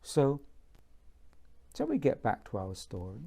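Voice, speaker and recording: Slow, mellow man reading aloud. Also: mid-range voice, huge pitch swings, creaky. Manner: deliberate, with long pauses between phrases. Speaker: author reading from own book. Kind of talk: measured book reading, bedtime-story narration.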